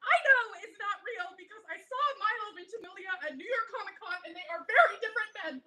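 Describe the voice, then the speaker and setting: A woman's voice: very high-pitched, fast talker, pushed and bright, heavily acted. A young woman in a staged reading, playing a dramatic, lovesick, gossipy teenage fangirl with a gushing delivery. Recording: roomy stage recording with background noise.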